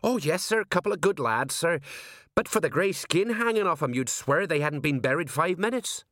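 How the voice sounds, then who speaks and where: Audiobook police sergeant, performed by a male narrator: high, swooping, eager to please, deferential and chatty. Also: animated, moderate pace, fairly bright tone, dry recording, clean studio sound. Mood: eager to agree. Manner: obliging, agreeable, chatty.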